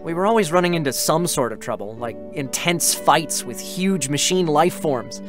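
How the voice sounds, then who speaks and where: A man's voice: a little high, expressive, moderate pace, over music, natural voice. An actor playing an earnest, wistful young male android soldier in a dramatic reading, chatty and sentimental with boyish hesitations. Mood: nostalgic, wistful. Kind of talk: dramatic scripted reading with first-person narration.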